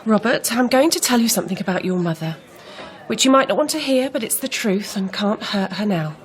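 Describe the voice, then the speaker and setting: A woman's voice: medium pitch, lively intonation, fairly quick, close to an everyday voice. An older woman acting a forthright, disapproving aunt with a grievance in a naturalistic radio drama. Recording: murmur of a crowd behind.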